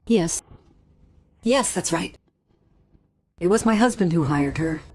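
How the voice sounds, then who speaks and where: A woman's voice: on the low side, lively intonation, darkish tone, slightly rough, full character voice. An actress playing a startled, uneasy married woman who speaks hesitantly in a radio play. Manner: hesitant, halting.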